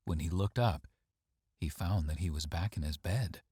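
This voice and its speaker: A man narrates a story in a low, husky voice. His intonation swings widely, with dramatic and measured phrasing. The sound is dry and close, with no room around it. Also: mellow, no room sound. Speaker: story reader.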